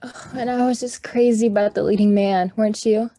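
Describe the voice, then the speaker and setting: A woman's voice: mid-range voice, fairly even intonation, brisk, warm tone, close to an everyday voice. A woman playing a chatty, starstruck niece in an old-time radio drama. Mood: excited, friendly.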